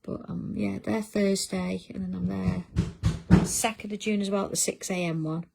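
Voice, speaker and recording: A woman's voice: mid-range voice, fairly even intonation, normal speed, vocal fry, darkish tone, slight background noise, poor audio. A middle-aged woman, hosting a craft livestream in casual chat and answering viewer comments as she works. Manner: chatty, reads out viewer comments while working.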